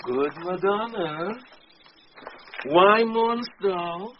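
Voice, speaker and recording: A man's voice: high-pitched, extremely slow, a little hiss or hum. A man playing an impudent, witty court fool in a Shakespearean radio drama, teasing with playful riddles and mock logic. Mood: mock-serious teasing. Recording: dull 1990s radio recording, faint music.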